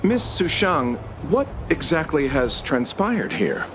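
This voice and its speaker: A man's voice: a little high, very animated, slow pace, background noise. A man voicing a composed, wry travelling merchant in an anime-style game, polite and formal. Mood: polite, curious.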